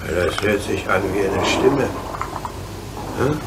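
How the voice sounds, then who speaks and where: An actor playing a steady, fatherly locomotive driver in a children's radio play, plain-spoken and reassuring. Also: slow pace. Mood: puzzled, curious. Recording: loud rumbling train noise behind.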